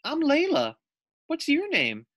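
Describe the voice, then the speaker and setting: A man's voice: very high-pitched, huge pitch swings, takes it slowly, a bit bright, pitched above the performer's own voice, heavily acted. A man voicing a little young female baboon in a storybook, eager and curious.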